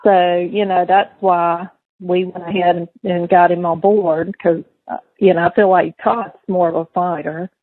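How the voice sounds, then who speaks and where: A woman's voice: lowish voice, little pitch movement, unhurried, no room sound. A middle-aged woman talking casually on a recorded phone call. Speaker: mother on a call to her son in jail. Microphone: telephone.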